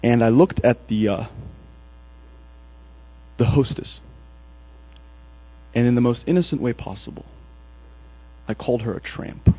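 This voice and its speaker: A man's voice: medium pitch, a little slow, pauses often. A man from Egypt preaching a sermon in a storytelling way, acting out the people in his stories. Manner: storytelling, animated, acts out quoted characters. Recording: husky voice over a tinny, dry, phone-like recording with mains hum.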